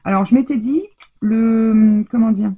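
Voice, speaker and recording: A woman's voice: a little high, unhurried, husky, lo-fi sound. A woman from France chatting casually and rambling with many fillers as she shows her craft purchases in a haul video. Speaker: craft vlogger.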